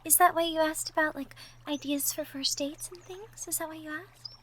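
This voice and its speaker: A woman playing a shy, sweet young cottagecore woman in love, speaking softly and hesitantly in an intimate roleplay audio drama. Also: high voice, steady tone, normal speed, natural voice. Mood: encouraging, tender. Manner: hesitant, bashful, soft-spoken, trailing off. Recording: faint background noise, birdsong effects. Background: birdsong, outdoor nature sound effects.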